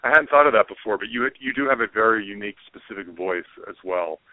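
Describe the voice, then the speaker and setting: A man's voice: on the low side, expressive, fast talker, no echo. Male podcaster, dry phone-like sound. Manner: affirming, informal, roundabout questions. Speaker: podcast host, interviewer. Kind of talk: casual podcast interview. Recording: narrow phone-like band, dry.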